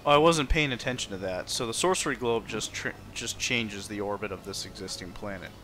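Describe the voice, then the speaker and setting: A man's voice: on the low side, brisk. A man giving casual playthrough commentary as a gaming streamer. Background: faint game music.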